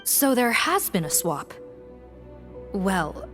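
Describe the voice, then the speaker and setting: A brisk female guard with a mid-pitched, very animated voice and a blunt, confident edge. Faint game music plays behind her. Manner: blunt, confident, lively delivery. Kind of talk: video game voice acting.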